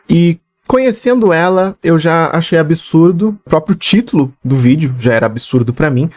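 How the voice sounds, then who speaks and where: A woman's voice: sits low, mellow, no echo, studio quality. Serious woman VTuber. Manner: serious, explaining step by step. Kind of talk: commentary video.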